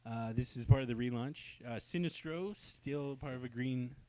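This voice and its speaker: A man's voice: mid-range voice, moderate pace, a little mellow. A man hosting a comic book review in casual, jokey chat with irreverent, crude slang.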